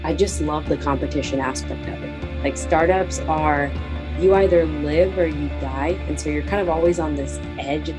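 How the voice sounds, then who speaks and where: Brief: young woman founder, low voice, earnest and plain, music clear behind. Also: normal speed. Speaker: startup founder. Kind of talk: earnest interview answer.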